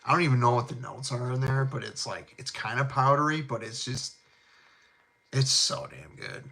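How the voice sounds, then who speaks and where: A middle-aged man with a heavy Chicago South Side accent and a bright, forward tone. He rambles in a laid-back, chummy way, dropping fillers and casual slang as he talks about colognes with his viewers. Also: medium pitch, moderate pace, creaky. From Chicago, USA. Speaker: fragrance channel host. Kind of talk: casual live chat.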